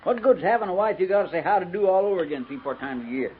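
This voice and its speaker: An actor playing a restless, complaining cavalry trooper in a 1950s radio western, with a folksy rural American accent. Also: male voice, sits high, lively intonation, very fast. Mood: grumbling, exasperated. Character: grumbling, restless, love-starved male cavalry trooper. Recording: tinny 1950s radio recording.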